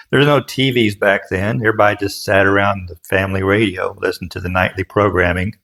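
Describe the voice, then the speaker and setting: A man's voice: fairly low pitch, a bit bright. A man giving casual, plain-spoken how-to narration as a craftsman, explaining things step by step in a folksy way. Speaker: furniture restorer and instructor.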